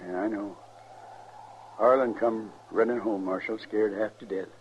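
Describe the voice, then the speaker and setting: A man's voice: fairly low pitch, fairly even intonation, a little hiss or hum, natural voice. Man acting heavy-hearted old western rancher, muffled radio sound. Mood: resigned, sorrowful. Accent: rural American West. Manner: folksy, halting, heavy-hearted. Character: grieving, worn older rancher father.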